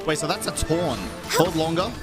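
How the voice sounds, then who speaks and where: Man, gaming streamer, fairly high pitch, casual commentary, exasperated, swearing, loud game music behind. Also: normal speed. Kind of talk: casual live gameplay commentary.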